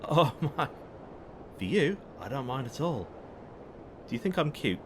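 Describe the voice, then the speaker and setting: A cocky, playful rogue from a sci-fi audio drama, acted by a man, who flirts and threatens in the same breath. His pitch swings widely and theatrically over a clear rumble of background noise. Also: big pitch swings, a little slow. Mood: flirtatious, teasing. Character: cocky, flirtatious, trigger-happy male space rogue.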